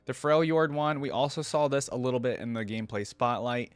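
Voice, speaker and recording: A man's voice: medium pitch, fairly quick, dry recording. A man hosting a reaction video, commenting casually and informally as he muses and thinks aloud.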